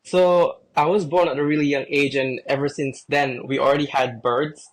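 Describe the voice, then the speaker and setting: A young man from the Philippines, a podcast guest talking about his birds in a relaxed, rambling way with lots of fillers. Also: a little high, normal speed, low-quality recording. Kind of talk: casual chat.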